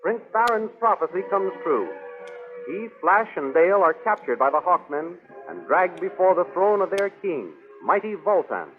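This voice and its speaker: A man's voice: sits high, big pitch swings, normal speed. A man narrating a 1930s radio serial with grandiose, urgent declamation. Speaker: announcer-narrator. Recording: tinny 1930s radio recording. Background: music.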